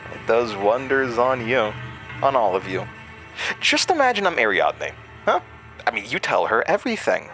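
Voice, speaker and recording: A man's voice: medium pitch, pushed and bright, heavily acted. An actor playing a malevolent entity with a man's borrowed voice, mocking and theatrical in a horror audio drama. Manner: mocking, sardonic, theatrical, toying menace.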